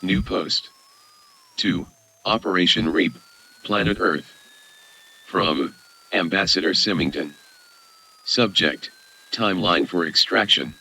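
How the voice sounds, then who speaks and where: A man's voice: low voice, slow pace, pauses often, pushed and bright. A man narrating scripted audio fiction, reading out short announcements.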